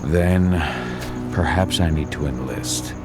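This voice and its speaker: A grim werewolf warrior from an action game. His voice is very low, his delivery terse and grave. Music plays loudly around it. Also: slow pace. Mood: guarded. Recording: loud music behind.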